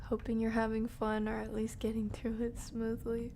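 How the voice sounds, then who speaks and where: A woman's voice: a little high, monotone, normal speed, background noise. A woman giving casual, playful live game commentary in slangy language, often murmuring to herself and slipping into whispers. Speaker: game streamer.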